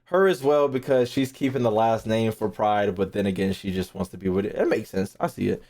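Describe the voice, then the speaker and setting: A man's voice: fairly low pitch, a little fast, a little mellow. Rambling, slangy man streaming. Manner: rambling, repeats phrases, trails off mid-thought; slangy, heavy swearing. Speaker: gaming streamer, YouTuber. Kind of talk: casual live-stream commentary, thinking aloud.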